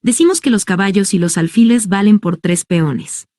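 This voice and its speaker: A woman reading a nonfiction book aloud as scripted narration, in a calm and measured way. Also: mid-range voice, dry recording, studio quality. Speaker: audiobook reader.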